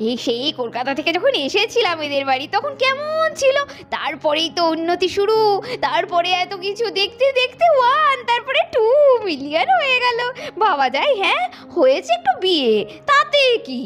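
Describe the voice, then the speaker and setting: A doting, proud young mother from a doll cartoon, voiced by a woman. Her voice is very high and sing-song with lively swings, and she chides her family fondly. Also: normal speed, exaggerated voice. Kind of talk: Bengali doll cartoon voice acting.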